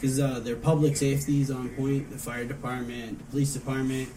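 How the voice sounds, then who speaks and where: A man's voice: medium pitch, flat delivery, fairly quick, dark tone, far from the mic, slight background noise, low-quality recording. A man in his thirties with an American accent, giving casual, conversational answers as an interview guest. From Michigan, United States. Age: 30s. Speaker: interview guest, restaurant manager.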